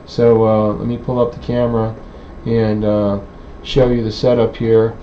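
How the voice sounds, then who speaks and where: A man giving an informal technical demonstration in a halting, hesitant way with many pauses. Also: on the low side, little pitch movement. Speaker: presenter, radio hobbyist. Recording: dull, lo-fi sound with faint background noise.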